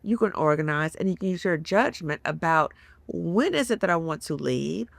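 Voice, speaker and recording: A woman in her fifties speaks with a gravelly, fry-touched voice, close to the mic in a dry room. She explains things earnestly and sometimes acts out people's worried thoughts. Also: lowish voice, expressive, normal speed, dry recording. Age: 50s. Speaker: guest psychologist. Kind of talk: casual podcast discussion.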